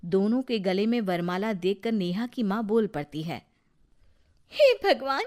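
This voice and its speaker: A woman narrating a scripted animated story for children. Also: mid-range voice, moderate pace.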